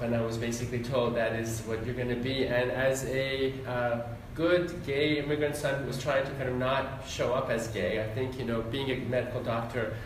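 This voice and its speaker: A man born in Iran and raised in Ohio, with a Midwestern accent, giving a relaxed, rambling interview answer full of fillers. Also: mid-range voice, slight background noise. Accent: Midwestern American. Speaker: interview guest, doctor and psychologist. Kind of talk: casual conversational interview. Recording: very echoey room, mic at a distance.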